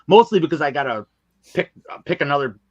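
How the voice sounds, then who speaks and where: A man's voice: fairly high pitch, animated, quick pace, dry recording, muffled recording. A man talking casually and candidly as a podcast interview guest, with emphatic, colloquial phrasing. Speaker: guest, audio drama writer and filmmaker.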